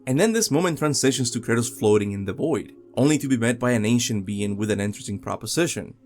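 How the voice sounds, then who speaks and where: A man's voice: mid-range voice, expressive, quick pace, a little mellow. A young man narrating a scripted video game countdown as host, with music behind him.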